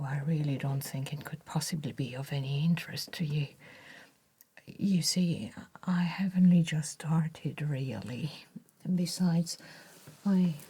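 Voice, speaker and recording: Breathy, whispering shy woman writer, played by an actress. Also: on the low side, heavily acted. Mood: bashful, reluctant. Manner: hesitant, gentle, politely formal. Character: shy, modest, courteous woman writer in a cozy village. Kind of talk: soft-spoken ASMR cinematic roleplay.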